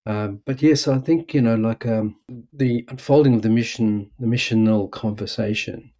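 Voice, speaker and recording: A man from South Africa with a South African accent, a missiologist guest who talks in a halting way with restarts and stammers, over a remote call. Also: medium pitch, dark tone. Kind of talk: conversational interview.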